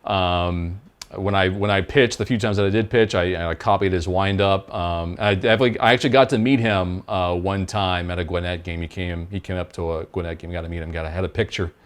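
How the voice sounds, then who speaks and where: An American man in his thirties with a booming announcer voice, answering interview questions casually as a public address announcer. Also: fairly even intonation, brisk, no room sound.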